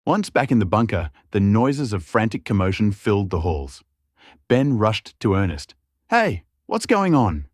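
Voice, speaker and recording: A male storyteller with a fairly deep voice reads dramatic fantasy prose. His intonation rises and falls expressively for suspense, and the sound is very dry and close. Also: animated, normal speed, dry recording. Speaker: narrator. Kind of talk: scripted dramatic fantasy narration.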